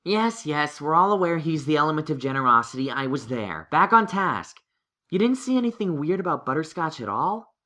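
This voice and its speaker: A woman's voice: sits low, big pitch swings, fairly quick, fairly bright tone. A woman voicing a studious young male unicorn pony in a cartoon-style audio story, dry and pensive. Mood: impatient.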